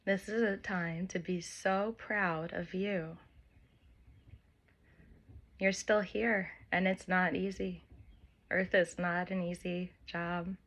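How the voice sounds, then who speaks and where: A woman leading a guided meditation, speaking gentle instructions in short phrases with long pauses between them. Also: fairly low pitch, little pitch movement. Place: by the open air with wind and birds.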